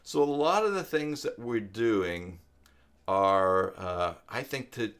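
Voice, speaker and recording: A man's voice: on the low side, fairly bright tone. A man hosting a casual live web tutorial, thinking aloud and rambling with hesitant restarts as he answers viewers. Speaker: live stream host giving web tutorials.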